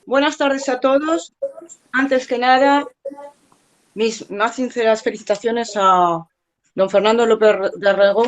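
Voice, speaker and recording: A woman, a native French speaker who grew up in Spain and France, giving a measured, reflective conference talk as an artist and art lecturer. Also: mid-range voice, low-quality recording.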